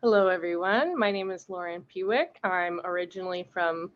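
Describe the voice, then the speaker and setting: Measured Canadian woman, dull audio. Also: on the low side. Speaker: panelist.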